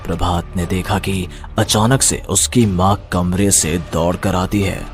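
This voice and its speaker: A man's voice: low voice, steady tone, slow, faint music behind. Male narrator of a horror tale. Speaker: story narrator. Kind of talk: dramatic scripted horror narration.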